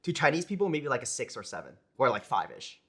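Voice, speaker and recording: A young American man, a pro gamer, chatting casually in an interview, relaxed and rambling with lots of "like" and "you know". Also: medium pitch, fast, husky. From United States. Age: young adult. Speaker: esports player guest.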